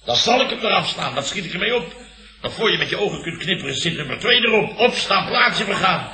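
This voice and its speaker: Blustering dutch boss, very sharp forceful voice, pompous and aggrieved, roomy old radio comedy. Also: male voice, high voice, big pitch swings, brisk, exaggerated voice. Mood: flustered. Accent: Netherlands Dutch. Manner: blustering, pompous, stammering when flustered. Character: blustering, self-important, aggrieved male boss.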